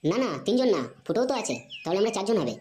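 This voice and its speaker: A woman's voice: medium pitch, very animated, rapid-fire, close to an everyday voice. A woman voicing a laid-back, teasing older cartoon boy who talks in casual slang, with a West Bengali accent. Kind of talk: comedy cartoon dialogue. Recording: clearly noisy background.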